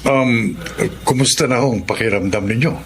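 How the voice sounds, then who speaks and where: A man's voice: mid-range voice, normal speed, natural voice. Composed, courteous lawyer acted by a man, some background noise. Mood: respectful, reassuring. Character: composed, courteous male lawyer, discreet and earnest. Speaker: radio drama actor. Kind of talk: Filipino radio drama acting.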